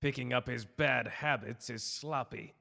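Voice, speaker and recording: A man playing a stern, scheming older superior with a gravelly voice, curt, formal and condescending, in a dramatic audio roleplay. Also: medium pitch, fairly even intonation, a little slow, pushed and bright, exaggerated voice. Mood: cold, disdainful. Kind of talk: dramatic ASMR character roleplay. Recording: long cavernous hall reverb.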